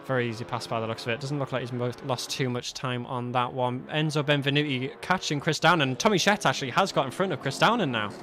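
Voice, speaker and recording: A man's voice: mid-range voice, fast talker, few pauses. A British man giving live sim racing commentary on a livestream, mixing it with matey, jokey, colloquial banter. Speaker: esports livestream race commentator. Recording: noisy stream with car engine sound.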